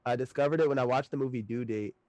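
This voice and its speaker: A man's voice: mid-range voice, fast, darkish tone, no echo. A man in his late twenties, chatting loosely as a podcast guest, laughing often and swearing casually. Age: late 20s.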